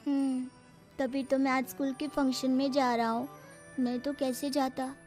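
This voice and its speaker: A woman's voice: high voice, level tone, moderate pace, darkish tone, soft background music, lightly acted. A woman voicing a cheeky young schoolboy, childlike and blunt, in a cartoon story. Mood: grateful.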